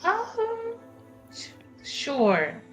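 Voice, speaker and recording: A woman's voice: very high-pitched, wildly swooping pitch, extremely slow. A woman roleplays casually at a tabletop game. She is polite and hesitant, taking her time with each phrase over faint music.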